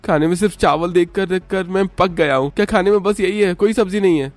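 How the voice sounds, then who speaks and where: A man's voice: sits high, fast talker, no room sound, faint music behind, natural voice. A man playing a poor family father in a cartoon story, fretful but caring, speaking earnestly. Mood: fed-up. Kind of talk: Hindi cartoon story voice acting.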